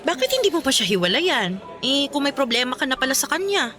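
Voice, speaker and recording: Bold, animated high-voiced best friend. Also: female voice, fairly quick. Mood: blunt, prodding. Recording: music bed behind.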